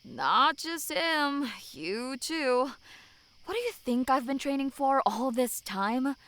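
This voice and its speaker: A woman's voice: high voice, big pitch swings, slow, fairly bright tone, heavily acted. A woman acting a smug, cocky young adventurer sister with mocking, sarcastic delivery in an audio drama. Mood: smug teasing.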